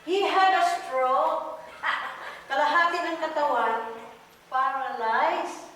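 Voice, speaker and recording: A woman's voice: high voice, slow, a bit bright. A woman acting a bossy, teasing, gossipy senior in a stage play, with a Filipino accent and emphatic delivery. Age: older. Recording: echoey hall, far mic, faint noise.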